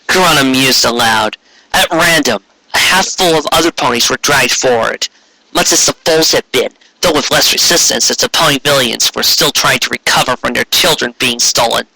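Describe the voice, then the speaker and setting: A man's voice: medium pitch, normal speed, creaky, pushed and bright, dry recording, rough recording. A man reading a scripted fan fiction story aloud as its narrator.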